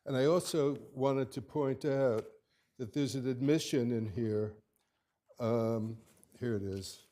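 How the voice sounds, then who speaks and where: A man arguing his case before an appellate court, speaking haltingly with many restarts and fillers. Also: medium pitch, mellow. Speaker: attorney arguing his own appeal. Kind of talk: courtroom oral argument.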